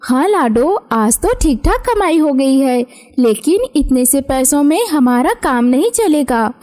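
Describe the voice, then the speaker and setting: A woman's voice: high-pitched, lively intonation, a little slow, a little mellow. A woman voicing a protective, reassuring young elder sister bird in a cartoon story. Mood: earnest. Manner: reassuring, earnest. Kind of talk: Hindi cartoon moral story.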